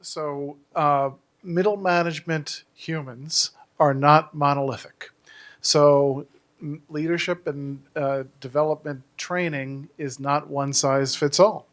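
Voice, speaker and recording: A man in a conference audience giving a measured, analytical comment into a handheld microphone. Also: on the high side, fairly even intonation, a bit bright. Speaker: audience member. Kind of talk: speaking up from the audience.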